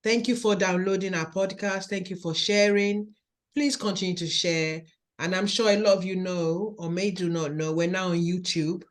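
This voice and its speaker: A woman with a Nigerian accent hosts an interview podcast. She speaks slowly and in a measured way, and she encourages her guest as she asks her questions. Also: lowish voice, steady tone. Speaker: podcast host. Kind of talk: warm interview podcast hosting.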